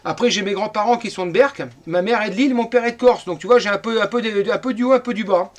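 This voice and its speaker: A man's voice: high voice, quick pace. A middle-aged man from France chatting casually as an amateur radio operator.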